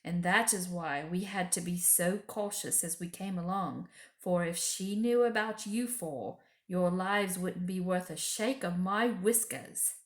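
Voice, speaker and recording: A woman voicing a gruff, grave, wary male talking beaver in a children's storybook, speaking bluntly and with weight. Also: on the low side, measured pace, echoey room, close to an everyday voice. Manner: emphatic, blunt, weighty pronouncements. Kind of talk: children's storybook character reading.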